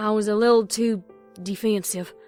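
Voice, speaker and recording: A woman's voice: mid-range voice, darkish tone, close to an everyday voice. A woman playing an earnest, protective young farm mare and big sister, plain and down-to-earth, with a Southern American accent. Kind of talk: audio drama character acting.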